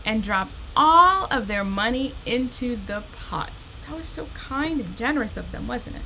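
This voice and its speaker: A woman speaks as a storytime host for children, with a fairly high voice and a very unhurried pace. She is expressive and encouraging, addressing her young listeners directly. A faint noise sits behind her in the recording. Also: gravelly, poor audio.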